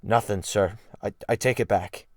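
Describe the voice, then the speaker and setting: A man's voice: lowish voice, steady tone, normal speed, no room sound, studio quality, close to an everyday voice. A man voicing a sullen, tough, defiant teenage cabin boy in a dramatic audiobook. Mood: grudging. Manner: sullen, grudging. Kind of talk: dramatic audiobook character reading.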